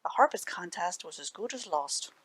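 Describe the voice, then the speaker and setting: A woman reading aloud to children as a library storyteller, animated and dramatic with deliberate phrasing. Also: fairly low pitch, husky, no room sound.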